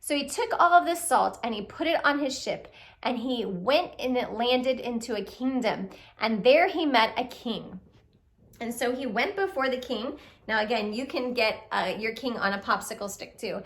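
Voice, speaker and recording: Woman, high voice, children's puppet storyteller, expressive, speaks straight to the kids. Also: moderate pace. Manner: expressive, talks directly to young listeners, exclaiming and asking questions. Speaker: storyteller, puppet show reader.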